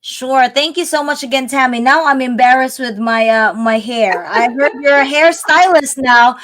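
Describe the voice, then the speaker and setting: A woman's voice: high-pitched, normal speed, fairly bright tone, a little hiss or hum. A middle-aged woman from the Philippines, a business coach, chatting earnestly on a live video call. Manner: chatty, earnest, laughs easily. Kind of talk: casual live-stream chat.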